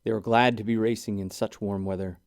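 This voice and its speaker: A man reading a rhyming children's storybook aloud in an expressive, deliberate way. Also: lowish voice, smooth, dry recording, clean studio sound. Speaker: children's book reader. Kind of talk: animated scripted read-aloud of a rhyming storybook.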